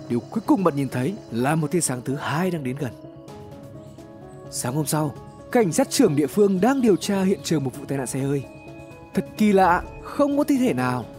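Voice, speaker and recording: A man narrates a scripted horror explainer in a notably high voice. His delivery is dramatic and measured, with lively swings in pitch. Music plays behind him.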